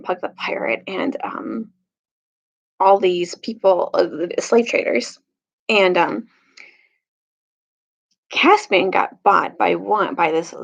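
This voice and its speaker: A woman leading a casual storytime as a teacher, speaking haltingly, searching for her words and correcting herself. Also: medium pitch, vocal fry. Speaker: storytime teacher reading aloud. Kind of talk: casual recap and storybook read-aloud. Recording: dull lo-fi recording.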